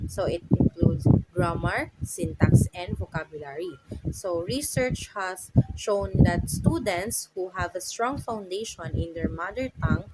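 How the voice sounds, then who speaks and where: A young Filipino woman from the Philippines, a native Tagalog speaker, giving a class presentation with frequent "so" and "um" and a halting delivery. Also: lowish voice, expressive. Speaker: student presenter. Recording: loud background noise.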